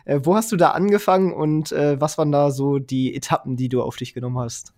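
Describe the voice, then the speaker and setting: A man hosting a podcast, reading a scripted intro and then asking brisk, upbeat interview questions. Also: a little high, fairly even intonation, darkish tone. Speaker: podcast host.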